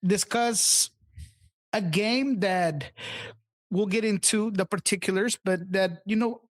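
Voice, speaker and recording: A man with a slightly raspy voice co-hosting a casual sports podcast, talking loosely and emphatically with lots of fillers and restarts. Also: high voice, slow. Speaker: podcast co-host, team insider.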